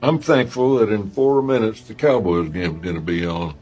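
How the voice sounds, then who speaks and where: An actor playing a blunt, deadpan family man grumbling at a holiday table in scripted comedy. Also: fairly low pitch, moderate pace, faint music behind, close to an everyday voice. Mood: grumpy, deadpan.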